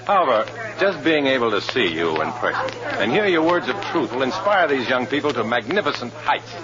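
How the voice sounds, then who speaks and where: A man's voice: mid-range voice, sing-song, fairly quick, natural voice. Actor as cool scheming undercover man, old radio. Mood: flattering. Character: cool, scheming male undercover agent posing as communist organizer. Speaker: lead actor and first-person narrator. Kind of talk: 1950s radio drama acting and narration. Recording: dull old radio recording, loud music behind.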